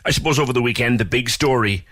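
A man's voice: medium pitch, quick pace, a bit bright, dry recording, clean studio sound. A man with a Cork accent hosting a radio talk show, direct and conversational. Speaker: radio host.

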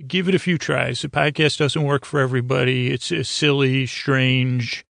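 A man with a creaky voice hosting a bedtime podcast in a soothing, meandering, hesitant monologue full of stammers and tangents. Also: medium pitch, steady tone, normal speed, fairly bright tone, no echo, clean studio sound. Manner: soothing, lulling delivery. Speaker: podcast host.

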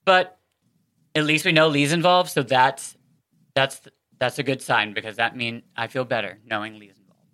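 A woman's voice: a bass voice, steady tone, normal speed, fairly bright tone, clear, resonant tone, no room sound. A woman co-hosting a fan podcast in a chatty, effusive, informal way. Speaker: podcast co-host. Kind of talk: casual fan podcast chat.

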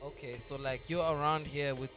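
Male interviewer, fairly high pitch. Informal, slangy chat style with probing questions, music audible underneath. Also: steady tone, moderate pace. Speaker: podcast host, interviewer.

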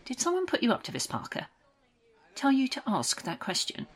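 An actress playing a condescending woman official in an audio drama, speaking in a measured, falsely soothing, patronising way. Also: high voice, husky, exaggerated voice. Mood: condescending, probing. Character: coolly condescending official woman running orientation interviews.

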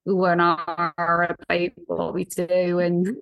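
A woman's voice: lowish voice, monotone, slow, gravelly, no room sound, highs cut off. A woman from Bristol with a mild West Country accent, chatting as a podcast guest and laughing often as she tells stories. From Bristol, England. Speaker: podcast guest, marketing manager. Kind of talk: casual interview chat.

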